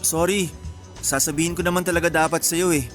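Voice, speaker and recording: A man's voice: on the high side, steady tone, fairly quick, over music, lightly acted. A man with a Filipino accent playing a cheeky young man with a coaxing manner in a radio romance drama. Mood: apologetic.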